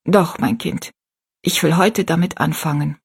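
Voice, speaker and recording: A woman voicing a tiny, kind and wise old woman who speaks softly, slowly and in a hushed way. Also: on the low side, gravelly, husky, exaggerated voice. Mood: quiet. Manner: soft, slow and hushed.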